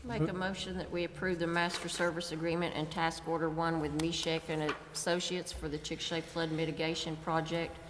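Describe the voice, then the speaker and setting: A woman's voice: deep voice, flat delivery, brisk, hardly any pauses. A woman council member formally reading out a motion at a public meeting. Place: indoor city council chamber. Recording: echoey council chamber with faint noise.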